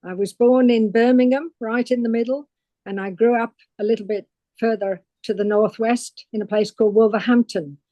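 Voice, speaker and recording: An older woman from England, recounting her career as a guest on a podcast interview in a measured storytelling way. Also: fairly high pitch, steady tone, dark tone, no echo, dull audio. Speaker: guest paleontologist.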